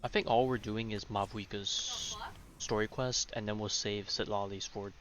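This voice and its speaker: A man's voice: fairly low pitch, fairly even intonation, moderate pace, background noise. A young man chatting casually as he streams a game, rambling and often interrupting himself. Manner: rambling, self-interrupting, informal. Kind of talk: casual livestream chat over gameplay.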